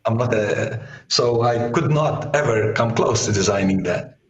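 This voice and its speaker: A man's voice: mid-range voice, unhurried. A man in his sixties with a partly lost voice, answering conversationally as an interview guest and often repeating words as he explains. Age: 60s. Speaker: guest, author and executive coach. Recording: roomy, echoey sound.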